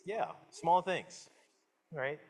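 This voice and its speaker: A man's voice: on the high side, extremely slow, a little mellow. Man with a slight Caribbean accent. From Guyana, raised in Jamaica. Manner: casual, friendly, asks audience questions, many fillers and restarts. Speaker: guest lecturer, professor. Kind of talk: public science talk.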